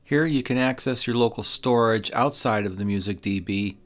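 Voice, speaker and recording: A man's voice: fairly low pitch, normal speed. A man presenting a product review as scripted, step-by-step narration. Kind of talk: scripted narration of a gear demonstration.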